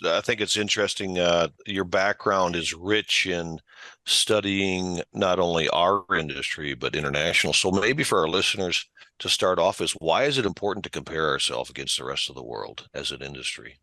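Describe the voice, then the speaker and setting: Low, bright-toned male co-host. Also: no room sound. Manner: deliberate, unhurried questioning. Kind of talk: interview question.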